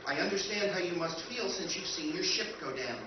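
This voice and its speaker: A man plays a solemn, deluded warship computer for an old-time radio play. The delivery is formal and grand, pitched fairly high. It comes through a very echoey hall with clear noise over it. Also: lively intonation, brisk. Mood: grave, consoling. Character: solemn, deluded, militaristic female lifeboat computer, motherly and stubborn. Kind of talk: live recreation of 1950s radio science fiction. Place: convention performance room, picked up from afar. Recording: echoey convention hall, distant muffled noisy recording.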